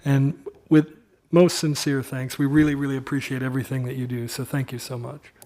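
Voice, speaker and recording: A man's voice: mid-range voice, little pitch movement, brisk, mellow. Man, Midwestern accent, city official, earnest formal thanks, level tone. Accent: Midwestern American. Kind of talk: formal thanks at council meeting. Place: city council chamber.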